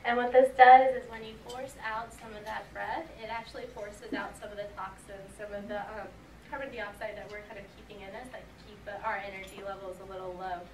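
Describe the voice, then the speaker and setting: A woman's voice: medium pitch, little pitch movement, a little fast, darkish tone. A woman leading a conference crowd through a breathing exercise, giving coaxing instructions and laughing between cues. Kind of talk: guided group exercise at a live event. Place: conference hall, indoors. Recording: echoey hall, distant, noisy.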